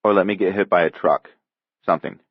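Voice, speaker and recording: A man's voice: low-pitched, fairly even intonation, brisk, no echo, lo-fi sound. A man voicing a small seven-year-old boy, anxious and stubborn, with childish, insistent questioning in a storybook reading. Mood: earnest, morbid.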